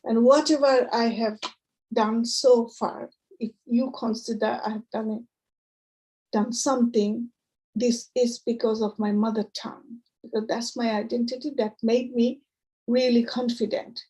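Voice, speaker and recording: A woman poet with a Bengali accent gives a reading in a rather high, soft-edged and mellow voice. She speaks in short, measured phrases, moving between verse and plain introductions for her listeners. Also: little pitch movement, poor audio. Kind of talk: poetry reading with spoken introductions to an audience.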